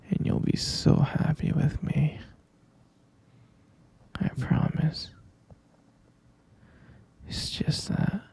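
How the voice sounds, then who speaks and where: A man playing an obsessive, delusional male captor in a whispered ASMR roleplay, cooing sweetly and possessively in a breathy, creaky hush. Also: a little high, exaggerated voice. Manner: hushed, cooing, coaxing, repeating himself.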